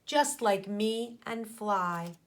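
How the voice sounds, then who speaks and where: A woman teacher reading a picture book aloud to children, speaking deliberately with pauses between phrases and putting questions to her pupils. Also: mid-range voice. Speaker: primary school teacher.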